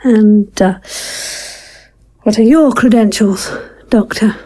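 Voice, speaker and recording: A woman's voice: mid-range voice, slow, dark tone. A woman playing a polite, guarded doctor in an audio drama, formal and hesitant. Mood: hesitant, courteous.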